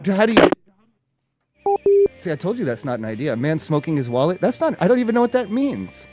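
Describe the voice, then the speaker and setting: A man hosting a live prank call show, chatting casually in a rambling, halting way and often repeating his phrases. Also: on the high side, big pitch swings, over loud music.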